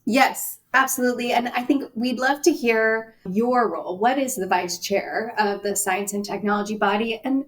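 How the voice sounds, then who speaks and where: Woman host with a middle-range voice, curious and encouraging. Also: mid-range voice. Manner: inquisitive, with frequent short backchannels. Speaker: podcast host. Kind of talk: interview questions.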